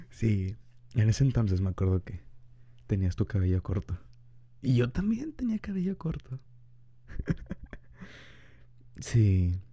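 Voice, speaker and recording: A man's voice: lowish voice, huge pitch swings, slow pace, long pauses, mellow, slightly rough, dry recording. A young man improvising an ASMR boyfriend roleplay, rambling chattily and laughing often into a close mic. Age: young adult.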